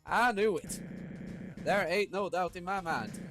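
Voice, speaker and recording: A man's voice: high voice, expressive, unhurried, voice pushed up. Showboating cowboy town boss played by a man, big swoops, folksy swagger, music behind. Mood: commanding, eager. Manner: folksy, theatrical, grandstanding. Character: swaggering Wild West monster town boss, male, showboating.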